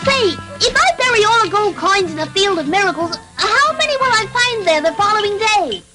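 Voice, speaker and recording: High-pitched, naive little puppet boy. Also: animated, fairly quick, loud music behind the voice. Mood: amazed, eager. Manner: earnest, childlike, wide-eyed. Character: naive, boastful little wooden puppet boy, good-hearted. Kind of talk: dubbed vintage cartoon acting.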